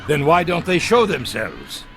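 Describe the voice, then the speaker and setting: An actor playing an evasive, agitated former SS captain in a vintage television drama, halting and blustering as he defends himself. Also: fairly high pitch, huge pitch swings, gravelly, heavily acted. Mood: agitated, pleading.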